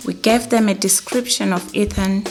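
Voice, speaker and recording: A woman's voice: mid-range voice, steady tone, normal speed, close to an everyday voice. Concerned, matter-of-fact woman nurse, acted, over music. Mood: measured, concerned. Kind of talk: scripted training film narration.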